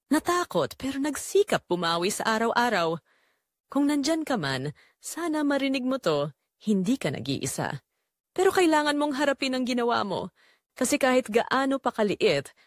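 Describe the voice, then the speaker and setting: A woman's voice: fairly high pitch, dry recording, clean studio sound. A Filipino woman playing a guilt-ridden mother who confesses a family secret in an earnest, emotional, reflective audio drama narration. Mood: anguished, reflective, then earnest resolve.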